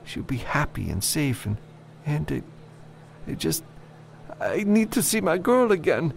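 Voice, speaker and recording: A man voicing a desperate enslaved father who pleads haltingly for help in an audiobook story. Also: a little high, very animated, vocal fry, slight background noise, pitched above the performer's own voice, full character voice. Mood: desperate pleading.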